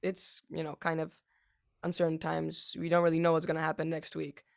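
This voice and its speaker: Teenage boy, gravelly, halting interviewee full of fillers. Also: fairly high pitch, monotone. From United States. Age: teens. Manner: halting, many fillers and restarts. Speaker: young pianist interviewee. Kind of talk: casual interview answers.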